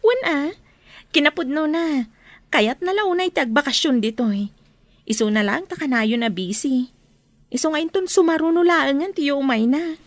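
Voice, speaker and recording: Actress as high-voiced affectionate Ilocano aunt. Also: female voice, normal speed, no room sound, lightly acted. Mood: fond, farewell. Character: affectionate visiting aunt and cousin from abroad. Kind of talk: Ilocano radio drama acting.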